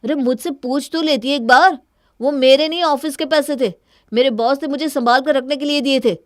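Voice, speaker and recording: A woman's voice: sits high, moderate pace, no room sound, clean studio sound, natural voice. A woman voicing an earnest, exasperated young husband in a cartoon story, reasoning and reproachful. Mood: annoyed. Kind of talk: Hindi cartoon story dialogue.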